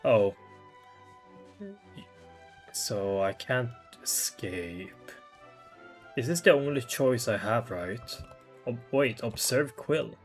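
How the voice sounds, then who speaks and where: Slow, musing game streamer. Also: medium pitch, expressive, soft background music. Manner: musing, muttering, informal. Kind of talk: casual playthrough commentary, thinking aloud.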